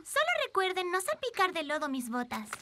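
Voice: very high-pitched, huge pitch swings, a little fast, background noise, full character voice. An actor voicing a prim, vain little girl kitten in a cartoon, with a Latin American Spanish accent. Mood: prim, fussy. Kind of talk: children's cartoon voice acting. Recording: very loud cartoon music behind.

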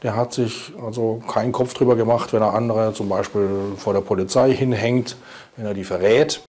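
A man's voice: on the low side, steady tone, moderate pace, darkish tone, breathy edge. A man in his fifties speaking as a prosecutor in a formal interview. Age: 50s. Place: indoor office.

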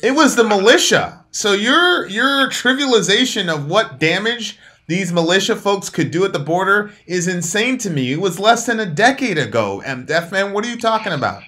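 Bright-voiced man from Jamaica, thirties, animated debater on webcam call, somewhat high voice. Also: moderate pace. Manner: animated, emphatic, gesturing. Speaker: co-host. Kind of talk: heated political debate. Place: dark room at home.

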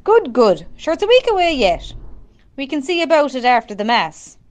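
A woman's voice: high voice, big pitch swings, normal speed. An Irish woman in an amateur radio drama playing a practical, no-nonsense leader of a rural group. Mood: businesslike.

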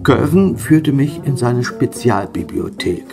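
A man acting a learned, curious but wary gentleman in a German horror radio drama, speaking in measured, formal, old-fashioned diction. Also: medium pitch, expressive, creaky, over music. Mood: grave narration.

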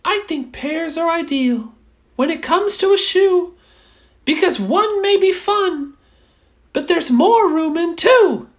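A woman's voice: extremely high pitch, lively intonation, takes it slowly, pitched above the performer's own voice, heavily acted. A woman voicing an eager, picky little gray mouse from a children's storybook, exclaiming and musing aloud to itself.

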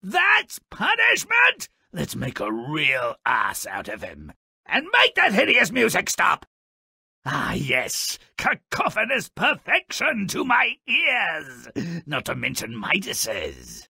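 An actor voicing a spiteful, mischievous gnome-like creature who narrates a video game tale with sardonic, theatrical relish in a gravelly, raspy voice. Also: male voice, high-pitched, huge pitch swings, slow pace, pushed and bright, dry recording, clean studio sound, heavily acted. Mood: gleeful spite, then mocking relish. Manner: sardonic, exaggerated, relishing malice.

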